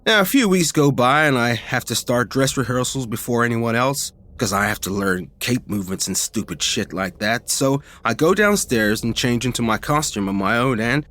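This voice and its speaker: A man narrating a first-person ghost story in an informal, expressive, conversational way, as a podcast reader. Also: mid-range voice, normal speed, few pauses.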